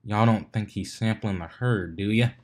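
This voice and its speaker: A man voicing a blunt, grumpy country farm pony mare in a story reading, with flat, folksy scolding. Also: lowish voice, normal speed, close to an everyday voice. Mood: skeptical.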